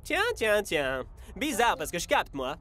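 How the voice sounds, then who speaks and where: An actor playing a cocky, boastful young football player in a French cartoon dub, smug and teasing. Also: male voice, high voice, big pitch swings, a little slow, sharp tone, full character voice.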